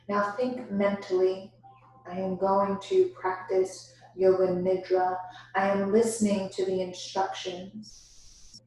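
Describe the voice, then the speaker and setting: A woman leading a guided yoga nidra meditation as instructor, giving deliberate instructions broken by pauses. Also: mid-range voice, vocal fry, darkish tone. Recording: very echoey room, distant microphone.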